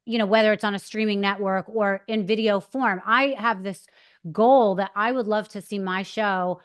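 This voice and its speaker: A woman podcast host talks easily and at length. She cheers on her guest in relaxed conversation, with a plain, mid-pitched voice. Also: moderate pace, clean tone. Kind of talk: casual interview chat.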